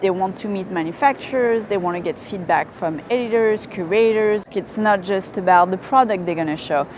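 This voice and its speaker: An earnest woman organizer speaks in a mid-range voice and promotes her event's mission with conviction. Steady background noise is audible throughout. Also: medium pitch, normal speed. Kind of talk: promotional interview. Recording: noisy background ambience.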